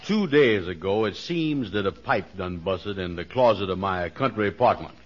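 Deep-voiced, pompous bumbling playboy. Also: male voice, expressive, normal speed. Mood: flustered, blustering. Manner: pompous, bumbling, folksy ungrammatical speech. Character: boastful, slow-witted man playing a high-class playboy. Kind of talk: 1940s radio comedy acting. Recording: muffled, hissy 1940s radio recording.

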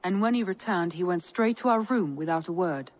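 An actress playing the concerned, composed wife of a Viking lord in a dramatic video game. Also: female voice, on the low side, expressive, brisk.

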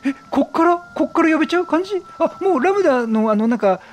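A middle-aged man giving excitable, casual let's play commentary, reacting chattily and repeating his phrases. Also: very high-pitched, fast talker. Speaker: gaming streamer. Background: game music.

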